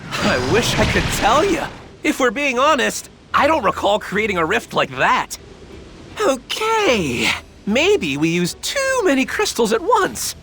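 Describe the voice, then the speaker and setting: A man playing a smug, excitable scheming scientist villain with a cocky, sarcastic manner in a video game. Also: very high voice, unhurried, a little hiss or hum, exaggerated voice. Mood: flippant. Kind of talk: animated game cutscene acting.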